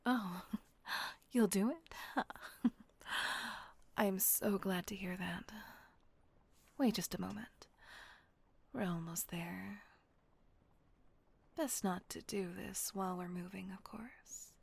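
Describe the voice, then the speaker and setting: A woman voicing a gentle, protective female vampire caretaker in a whispered audio roleplay, soothing and coaxing. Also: mid-range voice, steady tone, slow, pauses often, exaggerated voice. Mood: tender whisper. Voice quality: soft breathy whisper.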